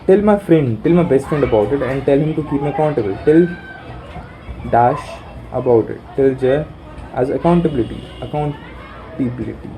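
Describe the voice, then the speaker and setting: Young man, deep mellow voice, halting self-talk on a phone mic, faint traffic behind. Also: lively intonation, a faint crowd, poor audio. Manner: musing, halting, swears casually. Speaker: vlogger. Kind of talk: rambling self-talk planning. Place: sitting in a room corner.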